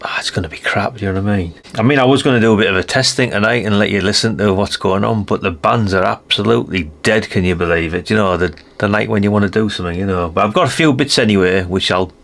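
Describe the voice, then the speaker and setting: British man, lowish pitch, gravelly fry, chatty, rambling, casual hands-on showcase, tag questions. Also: fast talker, few pauses, a bit bright. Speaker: host of a radio hobby channel.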